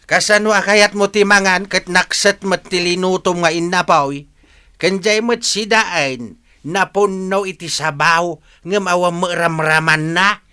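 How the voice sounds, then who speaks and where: A man's voice: high voice, fairly even intonation, unhurried, sharp tone, clean tone. An actor playing an exasperated, scolding man of a rural household in an Ilocano radio drama, grumbling and exclaiming.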